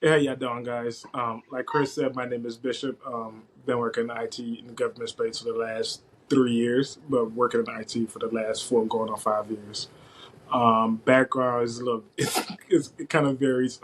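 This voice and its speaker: A young man with a medium-pitched voice talks casually in an interview. He is informal and wanders a little, often restarting a thought as he explains himself. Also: little pitch movement, moderate pace, a little hiss or hum, poor audio. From West Africa (Liberia), later the US from age eleven. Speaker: podcast guest, IT systems administrator.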